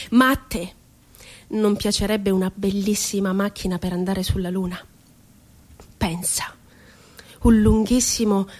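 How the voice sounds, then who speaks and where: An actress performing a theatrical monologue as an impassioned, rebellious, poetic woman, declaiming with deliberate, emphatic phrasing. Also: mid-range voice, a little mellow, natural voice. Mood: tender, dreamy. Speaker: stage actress.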